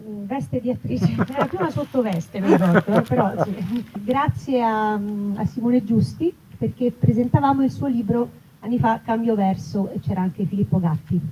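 A woman's voice: on the high side, normal speed, few pauses. A woman chatting casually as an interview guest about her own work, in a self-deprecating, digressive way. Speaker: actress and author, interview guest. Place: live festival event in an olive grove. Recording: dull, noisy live event recording with clicks.

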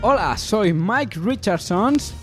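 A man's voice: sits high, expressive, slow, a bit bright, soft background music, voice pushed up, exaggerated voice. A man playing a formal, self-important news anchorman in a parody radio bulletin. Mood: mock-formal. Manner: formal, announcer-like.

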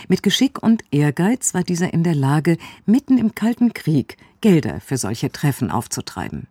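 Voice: mid-range voice, very animated, normal speed, a little mellow, husky, no echo, clean studio sound. A radio presenter giving a scripted introduction to an audio drama. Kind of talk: scripted narration.

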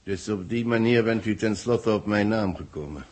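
An actor playing a grave, betrayed engineer who speaks with dramatic emphasis in a 1960s radio drama. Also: male voice, fairly low pitch, normal speed, darkish tone, close to an everyday voice. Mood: bitter, grave. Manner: emphatic, dramatic, repeating words. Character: grave, betrayed male engineer, a society chairman.

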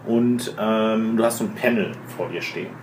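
A man's voice: lowish voice, normal speed, darkish tone. A man narrating a tabletop role-playing game as game master, improvising descriptions with colloquial asides. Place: room with other players at a table. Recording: roomy, noisy group table recording.

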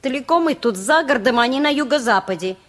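An actress playing a meek, wistful ageing mother in a Russian radio play, homely and quietly stubborn in her way of talking. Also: sits high, expressive, quick pace. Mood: defensive.

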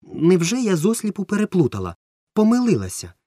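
Man putting on a high cackling old witch. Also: wildly swooping pitch, brisk, dark tone, no echo, studio quality, exaggerated voice. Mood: puzzled. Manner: singsong, teasing.

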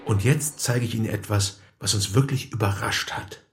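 A man's voice: lowish voice, moderate pace, natural voice. Male detective actor, radio drama: matter-of-fact, dry, probing, ambient noise behind. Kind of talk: naturalistic radio drama dialogue.

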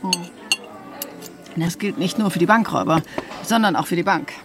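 A woman's voice: on the low side, moderate pace, lightly acted. A woman playing a shrewd, educated ex-bank robber turned consultant in a radio drama, explaining things in a matter-of-fact, instructive way. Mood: instructive, assured. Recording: loud music bed underneath.

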